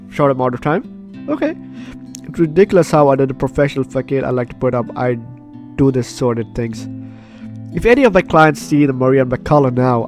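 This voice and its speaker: Male let's play host, medium pitch, casual, stumbling read-aloud of game text with asides, faint guitar music underneath. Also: darkish tone. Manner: stumbling, repeats and rereads phrases. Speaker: gaming video host.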